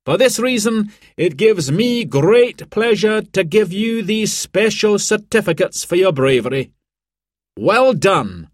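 A proud mountain rescue leader making a formal announcement, as a storyteller puts him on. The voice sits high and lifts in pitch all through, and it is recorded dry and close. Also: male voice, very animated, takes it slowly, no echo, exaggerated voice.

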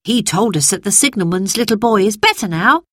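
A woman voicing an eager, bossy young boy in a storybook reading, with a brisk manner. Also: mid-range voice, lively intonation, dry recording, clean studio sound, pitched above the performer's own voice, lightly acted. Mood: chatty.

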